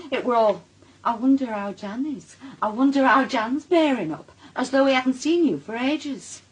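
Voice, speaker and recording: Jealous northern english wife, really high lively voice, sarky and accusing, radio drama. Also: female voice, moderate pace, slightly rough, poor audio. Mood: hurt, suspicious. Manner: accusing, sarcastic. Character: suspicious, wounded, sharp-tongued Northern wife. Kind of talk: naturalistic British radio drama acting.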